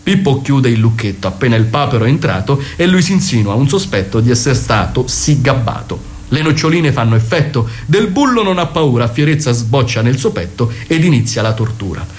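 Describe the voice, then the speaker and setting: A man's voice: medium pitch, expressive, brisk, few pauses, a little hiss or hum. Man declaiming comic rhymed narration. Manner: declaims in sing-song verse. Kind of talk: comic rhymed storytelling, radio theatre. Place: radio broadcast.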